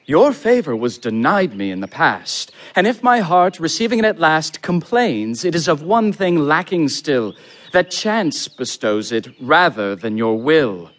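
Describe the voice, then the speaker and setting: A man playing an eager young gentleman, a smooth-talking romantic in a theatrical verse comedy, with ardent, flowery delivery. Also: fairly high pitch, big pitch swings, unhurried. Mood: ardent, pleading. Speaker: actor.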